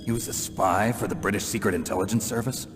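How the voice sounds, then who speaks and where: Man playing gravelly veteran soldier, very low voice, terse, guarded, video game drama, reverberant with music. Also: fast talker, noisy background, close to an everyday voice. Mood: guarded, questioning.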